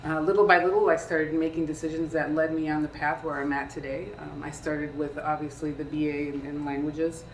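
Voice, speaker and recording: Woman from peru talking to students on a panel, low voice, mic kinda far off, room echo. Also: flat delivery, a little fast, hardly any pauses. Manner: conversational, asks the audience questions. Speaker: career panelist. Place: lecture room. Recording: echoey room, distant mic, faint hiss.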